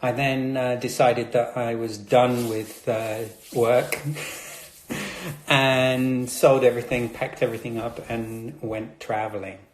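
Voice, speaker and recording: A man from Britain chats casually about his chocolates, chatty and a little nervous, with frequent ums. His voice sits in an ordinary middle pitch. The room around him gives a noticeable echo. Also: fairly even intonation, slow pace. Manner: chatty, nervous, many fillers. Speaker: chocolatier host. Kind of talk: casual live chat. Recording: roomy echo.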